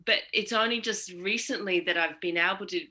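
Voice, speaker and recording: A woman's voice: mid-range voice, fairly quick, sharp tone. An Australian-accented woman chatting casually as an interview guest.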